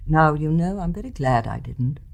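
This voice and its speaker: An older actress playing a wise, tolerant elderly mother in a radio play, speaking in a reflective, genteel, gently reasoning manner. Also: sits low, expressive, moderate pace, gravelly. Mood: wistful reminiscence. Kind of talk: measured radio drama acting.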